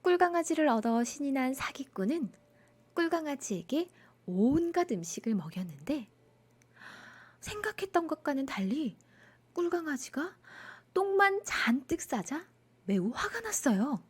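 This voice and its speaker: A woman narrating a children's bedtime folktale in an expressive storytelling style. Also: high-pitched, a little slow.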